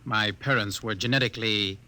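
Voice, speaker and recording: A man's voice: lowish voice, steady tone, a little fast, pushed and bright. An actor playing a wry, bitter, educated outcast man in a 1950s radio drama, sardonic yet polite. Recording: 1950 radio broadcast recording.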